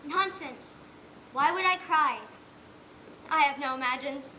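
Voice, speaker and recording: Troubled, pleading girl, played by young actress, very high, bright voice, stage drama. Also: slow pace, slight background noise, full character voice. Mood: withdrawn. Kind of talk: school stage play acting.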